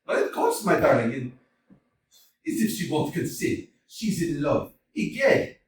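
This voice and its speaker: A man's voice: fairly high pitch, very animated, measured pace. A man playing an effusive, jovial older landowner in a staged play reading, laughing often and heaping on pet names, recorded in a roomy hall from a distance. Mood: overjoyed. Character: jovial gushing older landowner, father of the bride.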